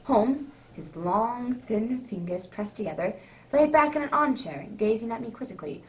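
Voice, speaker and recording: A woman playing a genial, easily flustered older English gentleman narrator in a radio drama, with avuncular, old-fashioned exclamations. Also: on the high side, animated, normal speed, a little hiss or hum, lightly acted. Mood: storytelling. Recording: distant microphone.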